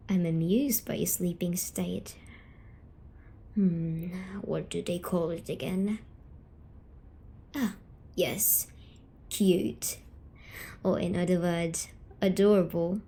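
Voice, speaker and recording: A woman voicing a gentle, teasing female sleep paralysis demon, speaking softly and soothingly in an ASMR story-telling roleplay. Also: fairly low pitch. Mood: teasing, affectionate. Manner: slow, soothing, coaxing, softly spoken.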